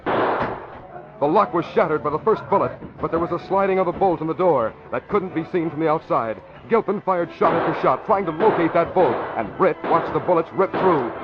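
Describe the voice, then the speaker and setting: Casting: dramatic 1940s radio serial narrator, male, highish pitch, lo-fi recording, heavy music behind. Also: lively intonation, normal speed. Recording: muffled old radio, loud music behind. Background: loud dramatic music.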